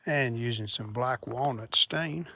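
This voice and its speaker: A man's voice: mid-range voice, unhurried, vocal fry, bright, forceful tone, a little hiss or hum. A man narrating a hands-on restoration casually, thinking aloud in short, plainspoken phrases. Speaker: restoration video host.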